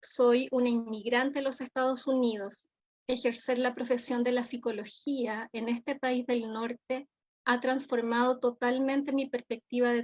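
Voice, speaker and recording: A woman with a Chilean accent delivering a formal keynote lecture as a psychologist, in measured, solemn, deliberate phrasing. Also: fairly high pitch. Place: remote online conference. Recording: dull, lo-fi audio.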